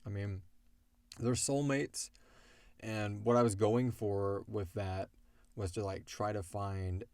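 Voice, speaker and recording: A man's voice: lowish voice, fairly even intonation, slow pace, a little mellow, no echo. A man talking in a casual, rambling, conversational way with lots of "like", as a show's creator answering listener questions. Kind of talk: casual Q&A discussion.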